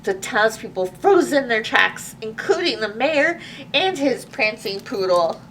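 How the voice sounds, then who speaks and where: Children's storyteller, female: high lively voice, expressive read-aloud with asides, slightly distant mic. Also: measured pace. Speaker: librarian hosting children's story time. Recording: distant mic with faint background noise.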